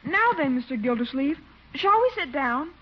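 A smug little gentleman of a boy for old radio comedy, formal and painfully proper. The voice is high and carefully precise. The recording is dull with slight background noise. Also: very animated, moderate pace. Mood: prim, courteous. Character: prim, overly polite, smug little boy. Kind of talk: 1940s radio comedy acting. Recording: muffled, hissy old radio broadcast.

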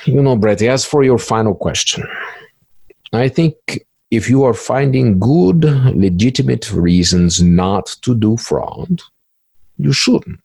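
A man playing an easygoing, boastful male cybercriminal in an interview role-play, halting and repeating phrases in stilted formal wording. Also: mid-range voice, animated, gravelly, darkish tone, husky, no room sound, full character voice. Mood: measured, matter-of-fact.

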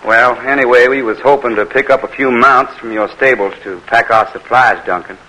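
An eager young militia volunteer with a folksy drawl. His voice is bright and forceful, and his speech is blunt and rustic, like a vintage radio play. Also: medium pitch, normal speed, rough recording. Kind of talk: 1940s radio acting.

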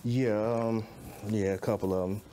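A man's voice: fairly low pitch, steady tone, moderate pace, warm tone, slight background noise. A man in his late thirties chatting casually as a chef being interviewed. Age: late 30s, nearly 40. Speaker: celebrity chef and caterer, guest.

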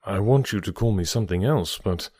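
A man voicing an earnest gentleman who gently lectures, in a lower, measured tone for audiobook dialogue. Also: animated, slightly rough, no echo, studio quality.

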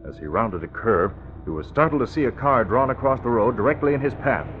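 A man narrating a radio drama in a measured, tension-building way. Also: mid-range voice, expressive. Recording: tinny old radio broadcast with music.

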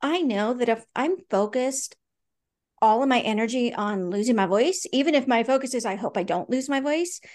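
A woman's voice: a little high, lively intonation, normal speed, darkish tone. A woman co-hosting a faith podcast in casual conversation, earnest and storytelling, with fillers and restarts. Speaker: podcast co-host.

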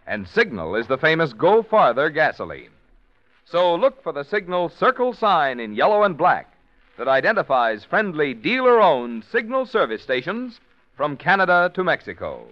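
Salesy old-time radio announcer, man. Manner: booming, salesy. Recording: dull old radio recording with music.

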